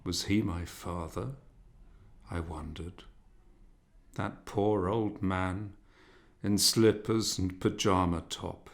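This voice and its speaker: A man narrating a literary novel as an audiobook, in a measured, expressive, dramatic reading manner. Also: fairly low pitch, gravelly.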